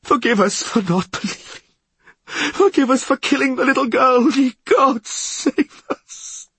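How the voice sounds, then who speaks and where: Sobbing merchant, high and strained. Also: male voice, lively intonation, measured pace, fairly bright tone, slightly rough, no room sound, heavily acted. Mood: terrified pleading. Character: sobbing, terrified, repentant merchant pleading for mercy. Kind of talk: audiobook character acting.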